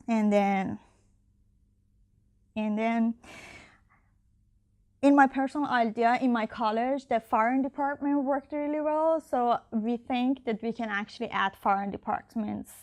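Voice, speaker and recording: A young woman candidate answering interview questions in English, not her first language, with many fillers and restarts. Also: on the high side. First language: not Icelandic. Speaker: election candidate.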